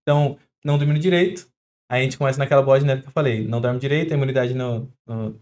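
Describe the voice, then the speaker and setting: A young adult man with a Brazilian Portuguese accent, a doctor guest on a podcast, explaining with everyday examples in a quick, chatty way. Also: medium pitch, fairly even intonation, a little mellow. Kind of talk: podcast interview.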